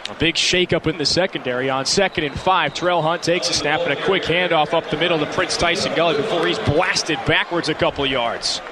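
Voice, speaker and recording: A man's voice: on the high side, a little fast. A young American man calling live football play-by-play for radio in vivid, clipped running phrases. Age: young adult. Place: stadium broadcast position, indoor dome. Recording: noisy live stadium broadcast. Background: loud stadium noise.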